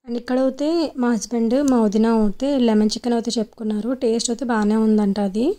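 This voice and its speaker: Young woman vlogger, casual narration packed with fillers; fairly high, even-pitched delivery. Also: fast. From Andhra Pradesh, India. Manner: chatty, filler-heavy storytelling. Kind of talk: casual voice-over vlog narration.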